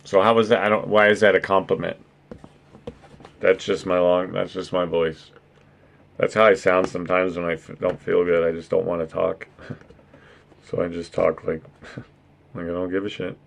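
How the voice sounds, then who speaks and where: A man with a naturally deep voice hosting a casual stream chat, joking, swearing and laughing often. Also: level tone, normal speed, gravelly, long pauses. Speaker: streamer and podcast host.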